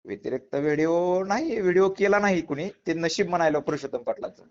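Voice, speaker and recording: A man's voice: fairly high pitch, lively intonation, fast, darkish tone. A man from Latur with a Marathwada Marathi accent, giving his side of a dispute emphatically in a recorded phone interview, often repeating phrases. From Latur, Maharashtra, India. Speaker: interviewee, kirtan performer. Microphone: phone call. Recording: muffled lo-fi phone call recording.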